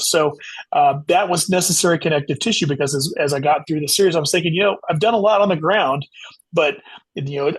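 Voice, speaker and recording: A man's voice: fairly high pitch, breathy edge, poor audio. A man being interviewed as an author, explaining things at length in a casual, conversational way. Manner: explanatory, conversational, says "you know" often.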